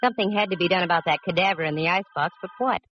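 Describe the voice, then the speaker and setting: An actress playing a sharp-witted, wisecracking female private detective in a vintage radio drama, wry and no-nonsense. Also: on the low side, rapid-fire, close to an everyday voice. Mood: wry narrating. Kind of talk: 1940s-50s radio detective drama acting and narration. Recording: muffled, noisy vintage radio recording.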